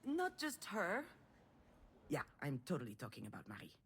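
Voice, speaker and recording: An actress playing a guarded, self-doubting adult woman with a weary, sardonic, measured way of talking in a naturalistic video game drama. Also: low-pitched, wildly swooping pitch, slight background noise, full character voice. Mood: earnest, reassuring.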